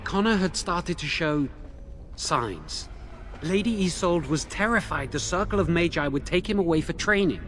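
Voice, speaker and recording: A man's voice: a little high, expressive, moderate pace, a bit bright, a little hiss or hum. An actor playing a nervous, remorseful young male mage held in a dungeon, in a video game. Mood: explaining. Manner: anxious, remorseful, pleading.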